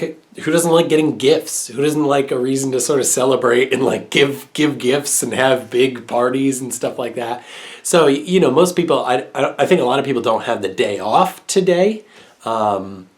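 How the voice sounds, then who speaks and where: A congested young man with a nasal voice, talking to his camera in a relaxed, rambling way. His speech is full of pauses, ums and restarts. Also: mid-range voice. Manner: rambling, many fillers and restarts. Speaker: English teacher abroad. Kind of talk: casual vlog chat.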